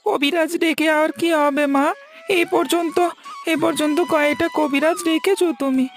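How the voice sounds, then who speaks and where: A woman's voice: high-pitched, fairly even intonation, normal speed, over music. A woman voicing a suffering young village boy in a fairy-tale cartoon, plaintive and insistent.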